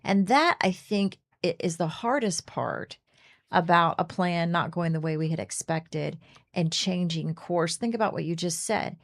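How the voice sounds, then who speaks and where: A woman's voice: lowish voice, measured pace. A middle-aged woman co-hosting a faith radio talk show, speaking earnestly and often restarting her sentences. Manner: earnest, restarts often, acts out quoted speech. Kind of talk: casual faith discussion.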